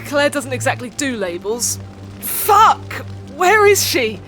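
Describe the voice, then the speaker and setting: A man's voice: very high-pitched, big pitch swings, slow pace, sharp tone, soft background music, exaggerated voice. A man playing a frantic, blunt, sweary teenage boy in an audio drama. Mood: desperate. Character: panicked, sweary British teenage boy searching for sister.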